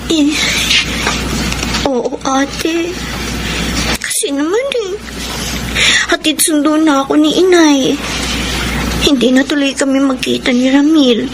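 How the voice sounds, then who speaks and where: A Filipino actress playing a lovestruck, secretive and whiny teenage daughter in a radio drama. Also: female voice, sits high, fairly even intonation, slow pace, pauses often. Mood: sulky, wistful. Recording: noisy radio drama recording.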